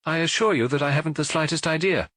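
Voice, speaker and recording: A man's voice: fairly high pitch, quick pace, a bit bright, dry recording, pitched above the performer's own voice, natural voice. A man voicing a stubborn, burly retired police superintendent in an audiobook. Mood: unforthcoming.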